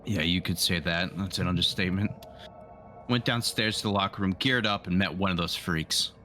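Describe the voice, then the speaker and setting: A man's voice: deep voice, moderate pace, bright, forceful tone, faint music behind. A man playing a tabletop roleplaying game, chatting casually and thinking aloud as he describes what his character does.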